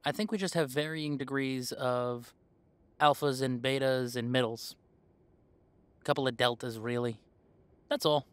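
A man's voice: medium pitch, measured pace, pauses often, clear, resonant tone, no room sound, natural voice. A man playing a young werewolf in a conversational audio roleplay, talking in a casual, earnest way. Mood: relaxed, earnest. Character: easygoing young male werewolf, defensive with parents.

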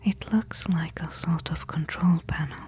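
A woman playing a careful, eager temple explorer in a soft-spoken ASMR audio roleplay, hushed and deliberate. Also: low voice. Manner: hushed, slow, deliberate whispering.

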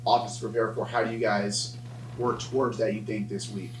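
A man's voice: lowish voice, little pitch movement, a little fast, far from the mic. A male reporter asking plain, conversational questions at a press conference. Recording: roomy sound from off-mic with hum.

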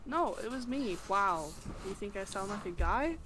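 A woman streamer in her thirties talks casually into a desk mic at home, teasing and laughing easily. Her voice sits at an ordinary middle pitch, with a faint hiss of noise behind it. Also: animated, normal speed, a bit bright. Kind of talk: casual co-op game chat. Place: home room, indoors.

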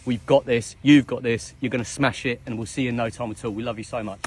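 Loud man outdoors on a golf course. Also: medium pitch, fairly even intonation, fast talker, slightly rough, soft background music. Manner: loud, swearing, affectionate. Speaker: golfer. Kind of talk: casual video message.

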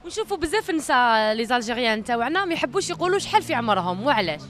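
A woman's voice: a little high, very animated, very fast, a bit bright. A young woman with an Algerian accent, working as a street reporter on a handheld microphone. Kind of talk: vox pop interviewing. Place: outdoors on a busy city street. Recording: faint outdoor street noise.

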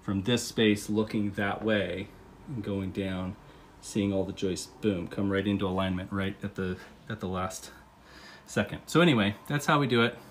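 A man in his thirties calmly explaining a home renovation step by step, talking while he films on a phone. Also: fairly low pitch, normal speed, a little hiss or hum. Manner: relaxed, explains step by step with fillers. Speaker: DIY renovation teacher. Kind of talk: casual instructional talk. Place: indoors, first in a car, then a house under renovation. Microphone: phone camera, often held while working.

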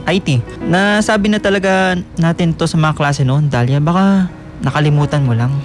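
A man's voice: fairly high pitch, normal speed, close to an everyday voice. A man playing an easygoing, somewhat evasive teenage schoolboy in a radio drama, talking casually with hesitations. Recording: music bed behind the voice.

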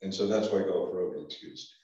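Low-voiced older man at a town meeting. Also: moderate pace, mellow. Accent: Vermont. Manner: hesitant, many ums and restarts. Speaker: select board chair. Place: town office meeting room. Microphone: far room camera. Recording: echoey room from far off, dull sound.

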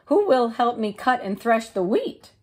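Woman putting on a lively, higher-voiced mother hen. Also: measured pace, breathy edge, exaggerated voice. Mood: hopeful, brisk. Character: busy, firm mother hen, hopeful then indignant.

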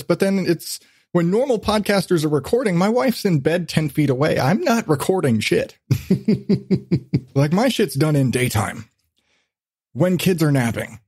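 A man's voice: fairly high pitch, darkish tone, dry recording, studio quality. A man hosting a casual podcast chat, rambling along and swearing freely. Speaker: podcast host.

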